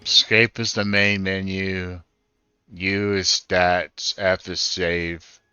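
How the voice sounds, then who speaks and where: A man's voice: low voice, level tone, slow, pushed and bright, clean tone, no room sound, muffled recording. A man giving casual first-time commentary on a video game, thinking aloud as he plays. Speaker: gaming streamer. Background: game music.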